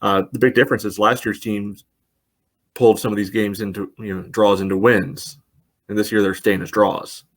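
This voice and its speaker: A man co-hosting a casual sports podcast, dry and wry, reeling off stats at a steady clip. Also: lowish voice, moderate pace. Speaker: podcast co-host.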